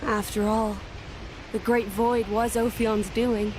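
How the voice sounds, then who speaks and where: A woman's voice: on the high side, little pitch movement, slow, background noise. An actress playing a plain-spoken young woman adventurer in a video game cutscene. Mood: matter-of-fact.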